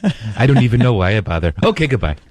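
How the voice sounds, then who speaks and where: A man reporting on air for a radio show, halting and hesitant as he relays messages. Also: medium pitch, sing-song, darkish tone. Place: radio studio.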